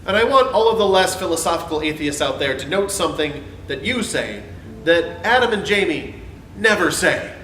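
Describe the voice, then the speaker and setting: A man's voice: fairly high pitch, animated, moderate pace, a bit bright, slight background noise. Male reader, theatrical and emphatic, swooping delivery of a scripted argument, echoey and distant over music. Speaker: host reading his own essay. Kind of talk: dramatic reading of an argumentative script. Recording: roomy, off-mic sound with background music.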